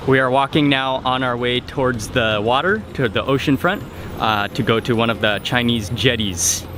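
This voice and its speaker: A man casually narrating a travel vlog while walking outdoors, relaxed and chatty with fillers. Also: mid-range voice, normal speed. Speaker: travel vlogger. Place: outdoors on city streets. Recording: music bed and traffic behind.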